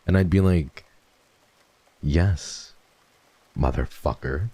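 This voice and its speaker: A man streaming a casual chat with viewers, swearing freely and jumping from low mumbles to excited high yelps. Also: slow pace, breathy edge.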